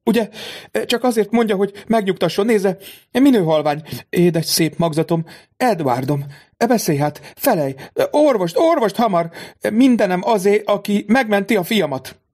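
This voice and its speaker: A man voicing an aristocratic young mother, distraught and high-strung, in a high pleading voice for an audiobook. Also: darkish tone, natural voice. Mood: frantic. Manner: high, breathless pleading delivery. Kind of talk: audiobook reading of a classic novel.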